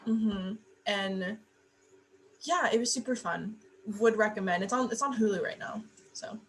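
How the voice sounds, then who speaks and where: A young woman guest chatting casually, rambling and trailing off softly. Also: mid-range voice, a little slow, a little hiss or hum. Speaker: podcast guest. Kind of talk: casual chat.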